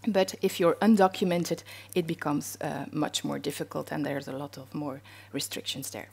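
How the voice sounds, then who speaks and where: A woman's voice: low-pitched, fairly even intonation, moderate pace, gravelly, darkish tone, husky. A middle-aged woman speaking on a stage panel, explaining research with frequent hesitations. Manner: hesitant, many fillers. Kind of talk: panel discussion. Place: stage in a wood-panelled hall with audience.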